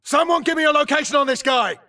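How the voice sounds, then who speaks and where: An actor playing a tough, aggressive infantry grunt in a video game, barking clipped, urgent military callouts. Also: male voice, very high voice, quick pace, far from the mic, background noise. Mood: tense, frustrated. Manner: clipped, urgent, forceful delivery. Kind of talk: military combat callouts, short shouted barks.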